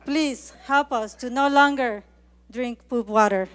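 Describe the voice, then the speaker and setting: A woman speaks at a public hearing in a high, smooth voice. She is emphatic and pleading, driving her points home in short, forceful phrases. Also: measured pace. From Ecuador. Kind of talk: impassioned public comment speech. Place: indoor public meeting.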